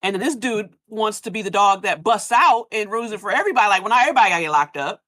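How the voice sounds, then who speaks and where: A woman in a casual panel discussion, speaking colloquially and acting out imagined remarks in an animated way. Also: medium pitch, rapid-fire, no echo. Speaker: podcast live event panelist.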